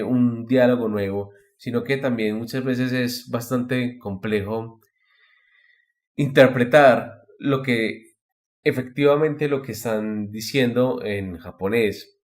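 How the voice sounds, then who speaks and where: A man hosting a casual podcast chat about anime, explaining things in a rambling, halting way with repeated words. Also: mid-range voice, darkish tone. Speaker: podcast host.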